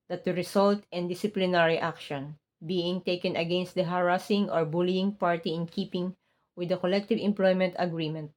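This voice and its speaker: A woman with a Filipino accent and a somewhat low voice talks to her viewers in a relaxed vlog manner. When she reads an English document aloud, she goes slowly and carefully, with small slips along the way, since English is not her first language. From Philippines. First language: Tagalog. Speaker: vlogger, hotel housekeeper. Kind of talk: casual vlog, then reading a document aloud.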